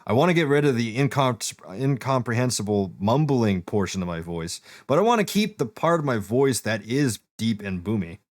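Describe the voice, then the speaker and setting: A man with a deep voice casually walking a friend through a streaming setup, step by step with fillers. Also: expressive, moderate pace, few pauses, dry recording. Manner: explains steps plainly, fillers, talks to a friend. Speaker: streamer giving setup help. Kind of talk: casual walkthrough.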